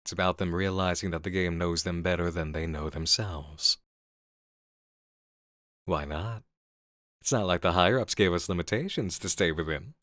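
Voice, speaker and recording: A man playing an intense, seductive horror game designer in an intimate ASMR roleplay, speaking in deliberate, drawn-out phrases on a close mic. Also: low voice, fairly bright tone, no echo. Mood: dark, persuasive. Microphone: close studio mic.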